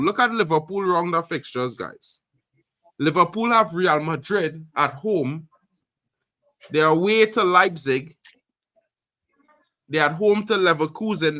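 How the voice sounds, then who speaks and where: A high-voiced man from Trinidad runs a live fan stream, talking loudly and with lots of energy. He leans on fillers and repeats himself as he goes. His tone is bright and forceful, and the sound is close and dry. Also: no echo. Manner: animated, loud, repeats fillers like "you know what I mean". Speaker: host. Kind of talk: casual live-stream chat.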